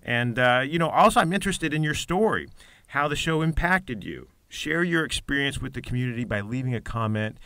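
A man hosting a podcast, reading a measured, scripted show introduction into a close mic. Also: mid-range voice, lively intonation, pushed and bright. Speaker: podcast host.

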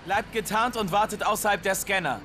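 An actor playing an intense young apprentice warrior in a game dub, moving between hushed brooding and forceful outbursts. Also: male voice, high-pitched, a little fast, natural voice. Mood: urgent. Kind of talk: dubbed video game cinematic acting. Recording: loud film score underneath.

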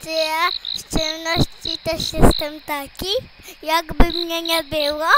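High-voiced, earnest little kitten, acted by a child. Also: flat delivery, heavy background noise. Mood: puzzled. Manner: earnest, questioning, slow and deliberate. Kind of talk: home-made children's radio play acting.

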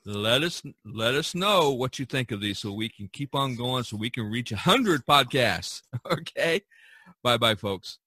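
Male podcast host, mid-pitched, loose rambling chat with chuckles. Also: expressive, a little slow, bright, forceful tone, husky, no room sound.

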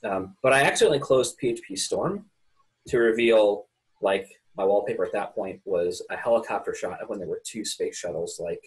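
A man in his thirties, co-hosting a podcast from home, telling stories in a lively way. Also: lowish voice, a little fast, low-quality recording. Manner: animated storytelling. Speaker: podcast co-host. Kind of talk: casual chat on a video call. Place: room at home.

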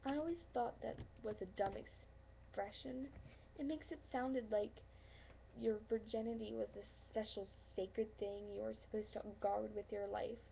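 A woman performing a dramatic stage monologue as a hurt, insecure, lovelorn young woman, earnest and pleading, with halting pauses. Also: mid-range voice, fairly even intonation, vocal fry, lightly acted. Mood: reflective, wounded. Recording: dull lo-fi, faint background noise.

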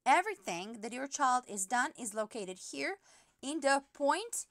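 A woman's voice: high-pitched, sing-song, unhurried, fairly bright tone, no echo. A woman teacher patiently walking parents through an app step by step, with frequent fillers. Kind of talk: app tutorial screen recording.

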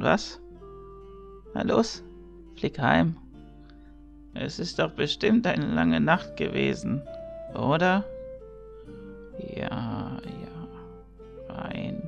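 A man playing a cheerful, kindly elderly village priest in an audio drama, chatty and musing, with a creaky voice. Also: on the high side, wildly swooping pitch, faint music behind, exaggerated voice. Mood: cheerful, fond. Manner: jovial, chatty, drawn-out musing.

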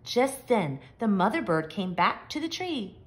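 A woman reading a picture book aloud to young children, with expressive, simple and emphatic phrasing. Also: mid-range voice, a little slow, a little hiss or hum. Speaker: children's story reader. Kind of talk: expressive storybook read-aloud.

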